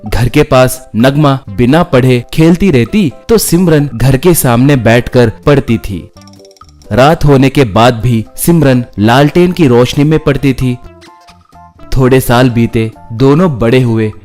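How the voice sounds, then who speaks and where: A man's voice: mid-range voice, slow, darkish tone, soft background music. A man narrating a scripted moral story as a storyteller, reading out the events in order.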